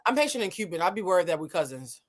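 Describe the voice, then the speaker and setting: A young woman from Boston hosting a casual chat show, playful and teasing, quick with her questions. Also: on the low side, big pitch swings. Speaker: host.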